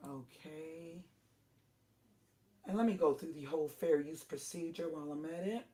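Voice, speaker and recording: A middle-aged woman with a low alto voice, hoarse and strained, hosting a casual livestream and talking in halting stops and restarts. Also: warm tone. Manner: halting, with many restarts and apologies. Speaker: livestream host.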